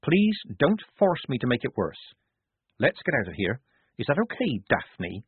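A man voicing a composed, decisive young woman soldier in a storybook, speaking in a firm, measured way. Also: medium pitch, wildly swooping pitch, husky, no echo, voice pushed up, exaggerated voice. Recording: narrow tinny phone-like audio.

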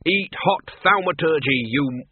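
A man's voice: fairly high pitch, lively intonation, a little slow, slight background noise, voice pushed up. An elderly wizard in a fantasy audiobook, voiced by a male narrator, bellowing with battle-crazed glee. His exuberant war cries come out raised above a plain talking voice, over a tinny, narrow-band recording. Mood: gleeful, shouting.

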